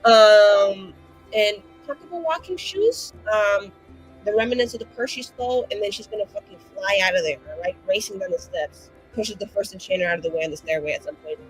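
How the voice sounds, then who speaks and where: A woman playing a tabletop game, excitably describing her character's actions with swearing and many fillers. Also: mid-range voice, brisk, soft background music, poor audio. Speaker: player.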